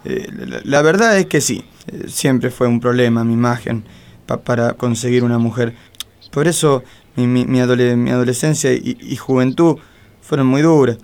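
A man's voice: medium pitch, moderate pace, vocal fry, a little mellow, natural voice. An actor playing an insecure, lovelorn man in a comic radio play, with a heavy nervous stutter and an Argentine accent. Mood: anxious, earnest. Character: insecure, lovelorn, short homely man, a detective's client.

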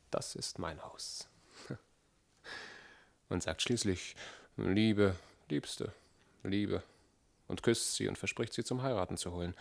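Young cynical charmer in a radio drama, brooding, deep with lots of creak. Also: male voice. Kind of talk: German radio play monologue.

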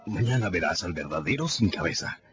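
A hesitant tavern keeper in a radio drama. His very deep voice moves expressively as he counsels restraint. The recording is a bit dull. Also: animated, fairly quick. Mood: weary restraint. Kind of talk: Spanish-language radio drama acting.

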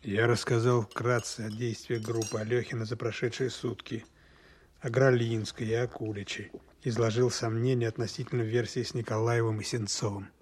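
A male actor plays an overworked Soviet officer in a radio drama. His mid-pitched male voice is husky, and he speaks earnestly and frankly, like a dutiful man reporting to a superior. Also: level tone, normal speed, darkish tone, lightly acted. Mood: measured, reporting. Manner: earnest, matter-of-fact reporting. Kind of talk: Russian radio play acting.

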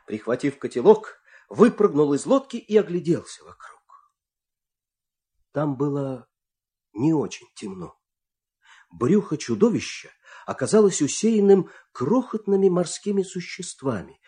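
A man's voice: fairly high pitch, very animated, a little slow, warm tone. A man narrating a fairy tale for an audio play in a theatrical, suspenseful storytelling manner.

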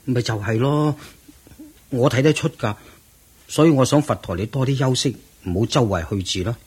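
An actor playing a devoted, anxious disciple in a Cantonese radio drama, with a Hong Kong accent and an earnest, deferential, breathy delivery. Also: male voice, medium pitch, normal speed, a little mellow. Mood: worried.

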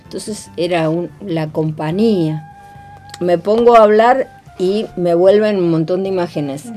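An older woman with an Argentine accent reminisces in an interview, reflective and halting, her phrases trailing off. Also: on the low side, dark tone, faint music behind.

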